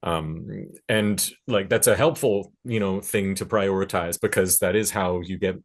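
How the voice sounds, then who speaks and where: Male panelist, low-pitched, reflective and halting, talking through his thoughts as a music producer. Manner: halting, thinking aloud, hedging with "sort of". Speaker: panelist, record producer and recording engineer. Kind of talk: panel discussion talk, reflective.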